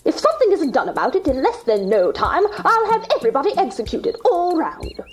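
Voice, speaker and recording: A shrill, raging Queen of Hearts. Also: wildly swooping pitch, fairly quick, faint music behind. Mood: furious. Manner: imperious, shouted threats. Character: furious, bloodthirsty, bellowing Queen of Hearts. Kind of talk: audio play acting.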